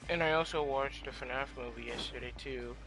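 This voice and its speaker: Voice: medium pitch, moderate pace, fairly bright tone, rough recording. A teenager chatting casually while commenting over video game play. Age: teens. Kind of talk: casual chat over gameplay. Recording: faint game music behind.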